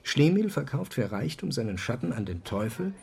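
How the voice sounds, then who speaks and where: Radio drama role: male intellectual, medium register, dominant, lecturing, deliberate and insistent. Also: medium pitch, big pitch swings, vocal fry, darkish tone. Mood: explaining. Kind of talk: German radio drama dialogue.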